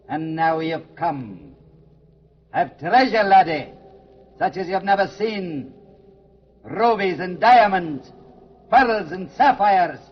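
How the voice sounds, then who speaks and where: An actor voicing the gloating, menacing ghost of an old Scottish pirate captain in a theatrical vintage radio drama style. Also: male voice, high-pitched, slight background noise. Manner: theatrical, archaic seafaring speech. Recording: dull vintage radio recording with music behind.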